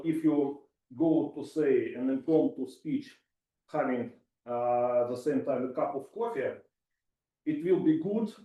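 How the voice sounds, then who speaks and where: A man's voice: medium pitch, dark tone. A man giving an impromptu speech, hesitant and formal in his phrasing, with many fillers. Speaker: impromptu speaker. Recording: echoey room, voice from far off.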